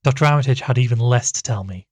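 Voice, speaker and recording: An anxious amateur investigator in a horror audio drama played by a male actor, earnest and hesitant. His voice is husky and recorded dry and close, with a worried edge. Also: medium pitch, fast, darkish tone, no room sound, natural voice. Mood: brooding, worried. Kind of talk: audio drama dialogue and first-person narration.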